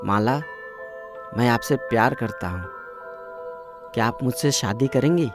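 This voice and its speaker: A man's voice: mid-range voice, measured pace, natural voice. A man voicing a polite, earnest young orphan painter in a moral-story cartoon. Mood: earnest, pleading. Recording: music clearly behind the voice.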